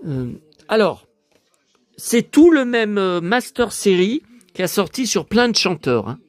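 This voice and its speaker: A hoarse middle-aged man talks in a fairly high voice, rambling from one thought to the next and repeating his words. He stammers and breaks into emotional asides. The sound is dry and close, as if spoken into a phone. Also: big pitch swings, unhurried, no room sound. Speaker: YouTuber. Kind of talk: casual rambling vlog chat.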